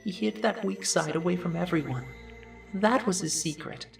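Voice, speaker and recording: A woman's voice: fairly low pitch, normal speed, gravelly, faint music behind. A woman playing a troubled, reflective young character, narrating somberly in an anime fandub. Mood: somber reflection. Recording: distant from the mic.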